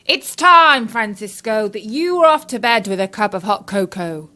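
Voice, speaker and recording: A woman's voice: mid-range voice, animated, pushed and bright. A woman playing a superstitious, uneasy male palace guard in a children's story, with dramatic, old-fashioned delivery. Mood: wary. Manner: slow, dramatic, mock-Shakespearean.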